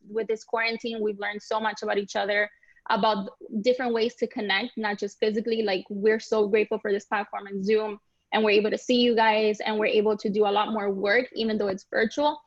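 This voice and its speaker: Young woman from cuba, enthusiastic friendly presenter, fairly high voice, muffled dry video-call sound. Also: no room sound. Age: young adult. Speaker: nonprofit staff member. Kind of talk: webinar presentation.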